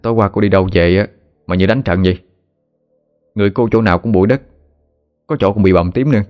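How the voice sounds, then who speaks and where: A man voicing a sick young man who talks quickly and earnestly. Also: on the low side, darkish tone, lightly acted. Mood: concerned.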